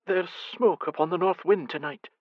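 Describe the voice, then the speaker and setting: A man voicing a timid, fretful elderly blind noblewoman who stammers softly in an audiobook. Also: mid-range voice, animated, moderate pace, dry recording, lo-fi sound, voice pushed up. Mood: worried. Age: older. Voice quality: stammering, soft and hesitant.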